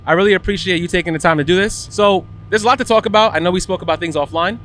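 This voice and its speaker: A man with a slightly high voice hosts a casual interview podcast. He is recorded on location at a car event, with clear vehicle noise behind him. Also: rapid-fire, fairly bright tone.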